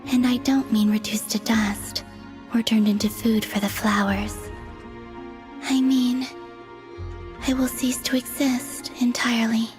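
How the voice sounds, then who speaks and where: A woman playing an otherworldly, serene young moon maiden in a video game, speaking in a soft, breathy, measured deadpan. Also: a little high, long reverb tail, natural voice. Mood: wistful, serene. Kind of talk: dramatic video game voice acting. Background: music.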